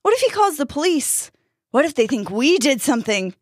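A woman voicing an excitable, bossy boy in a storybook, blunt, impatient and anxious. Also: high-pitched, brisk, no room sound, studio quality, voice pushed up. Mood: exasperated, anxious. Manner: urgent, blunt.

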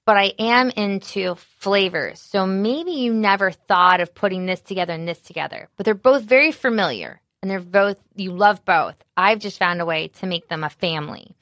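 A woman who is an executive chef, talking casually in an interview with a naturally loud voice and an animated, candid manner full of fillers. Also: medium pitch, moderate pace, hardly any pauses, dry recording.

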